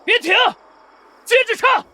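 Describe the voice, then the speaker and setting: A man's voice: measured pace, pushed and bright, a little hiss or hum. An actor playing a bold young male cultivator in an audio drama, commanding, taunting and defiant.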